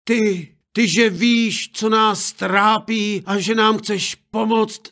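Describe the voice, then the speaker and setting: Grieving old parents, anguished, high strained voice, storybook reading, a man doing them. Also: fairly even intonation, pushed and bright. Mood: shocked. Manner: anguished, lamenting. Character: grieving elderly parents speaking together.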